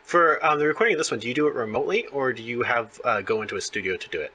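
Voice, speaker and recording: A man's voice: mid-range voice, animated, rapid-fire, pushed and bright, a little hiss or hum. A man hosting a conversational interview, explaining things in a rambling way. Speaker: interviewer, host.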